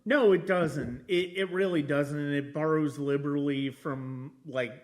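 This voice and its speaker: A man hosting a casual film discussion, speaking haltingly and circling back over his phrases. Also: a little high. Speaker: podcast host.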